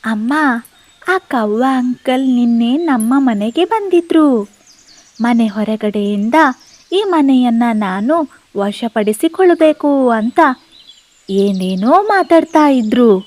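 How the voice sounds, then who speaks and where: A woman's voice: a little high, lively intonation, slow, pauses often, mellow, no echo. A woman voicing a little male bird chick in a children's cartoon story, speaking in an earnest, childlike way. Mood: worried, telling. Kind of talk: animated children's bedtime story.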